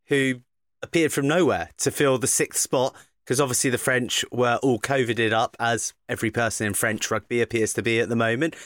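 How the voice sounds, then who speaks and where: A man hosting a sports podcast, lively and joking in casual chat. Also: mid-range voice, dry recording. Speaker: podcast host. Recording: dry close sound.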